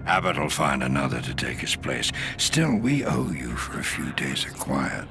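An actor playing a weary, gossipy inmate in a video game, muttering wryly in a gravelly, breathy voice. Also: male voice, a bass voice, big pitch swings, moderate pace, over music, full character voice. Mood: wry, confiding. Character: weary, gossipy older male prison inmate.

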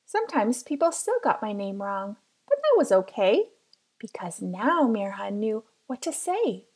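A woman reading a children's picture book aloud as careful, expressive storytelling. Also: fairly high pitch, unhurried, slightly rough. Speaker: storybook reader.

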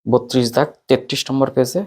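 A man's voice: medium pitch, little pitch movement, fairly quick, a little mellow. A man talks students informally through an exam paper as their teacher, announcing item by item in a repetitive way.

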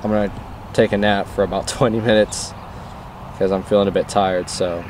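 A young man with a fairly low voice talks casually to his camera. He is laid-back and conversational, like a vlogger on the move. There is clear background noise from the car and the outdoors around him. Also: little pitch movement, normal speed, darkish tone. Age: 20s. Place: parked car and outdoor rest stop.

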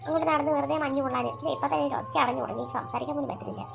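A woman voicing a calm, patient mother who reassures her children, in a homemade toy play story. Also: sits high, rapid-fire, creaky, background noise, voice pushed down. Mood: reassuring.

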